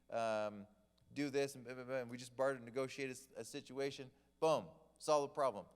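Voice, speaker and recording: A man's voice: mid-range voice, smooth. A man giving a casual, joking sermon, telling stories to the congregation. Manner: chatty, joking storyteller. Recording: long reverb of a hall.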